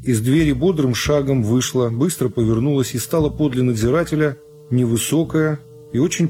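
A man reading a classic novel as a scripted radio narration, in a measured, unhurried storytelling manner. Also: medium pitch, mellow. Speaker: narrator of a literary radio serial. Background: music.

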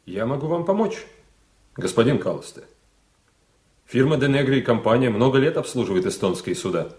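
A man's voice: medium pitch, animated, brisk, a little mellow, roomy sound, close to an everyday voice. A man acting a polite, obliging shipping agent in a radio drama, speaking in a formal, businesslike way. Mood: courteous, obliging. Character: courteous, businesslike male shipping agent, obliging and smooth-talking. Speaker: actor in a radio play.

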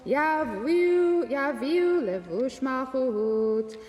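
A woman's voice: high-pitched, darkish tone, long reverb tail, faint music behind. A woman reading character lines in a chanted liturgical style. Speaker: reader of character lines in a group scripture reading.

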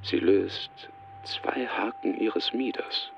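Deep, gravelly male scene narrator. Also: takes it slowly. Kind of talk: present-tense scripted narration for radio drama. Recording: dull band-limited sound, faint background noise.

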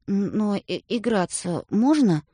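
A woman voicing a stubborn, capricious little boy who speaks slowly and hesitantly. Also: mid-range voice, animated, dark tone, breathy edge, dry recording. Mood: sulky.

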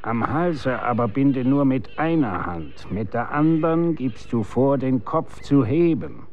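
An actor in a German radio drama playing a stern, grave executioner instructing his son in commanding, archaic, measured diction. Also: mid-range voice, lively intonation, phone-quality sound, close to an everyday voice.

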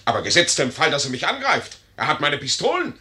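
An actor playing a vain, gullible young army lieutenant, brash and pompous, in a 1950s radio drama. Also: male voice, fairly high pitch, wildly swooping pitch, fairly quick, bright, forceful tone, heavily acted. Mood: blustering. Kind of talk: 1950s German radio play acting.

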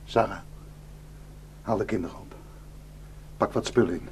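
A man's voice: on the low side, moderate pace, close to an everyday voice. An actor in a radio drama playing a protective, anxious village shepherd and father, speaking in terse, urgent instructions. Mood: uneasy. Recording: noisy radio play recording.

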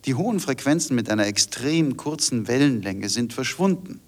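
Man doing translation voice-over for a radio documentary, medium pitch, scripted. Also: expressive, normal speed.

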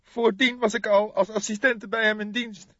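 A man's voice: high-pitched, little pitch movement, moderate pace, pushed and bright, no room sound, lo-fi sound, pitched above the performer's own voice. A man voicing a distressed young woman, a doctor's assistant, anxious and hesitant, in an audiobook. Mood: shaken, grieving.

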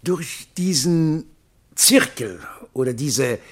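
A self-important man of letters in a radio drama. His husky voice rises and falls dramatically as he delivers flowery, ingratiating phrases. He sounds pompous and eager to flatter his betters. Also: on the high side, takes it slowly. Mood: pompous, obliging. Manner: pompous, ornate, ingratiating oratory. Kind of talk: theatrical radio play acting.

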